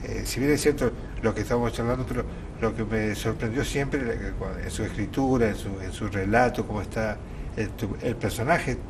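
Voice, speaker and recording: A man in casual conversation about the theatre, speaking haltingly and often correcting himself. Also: fairly low pitch, noisy background. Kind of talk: casual interview conversation about a stage play.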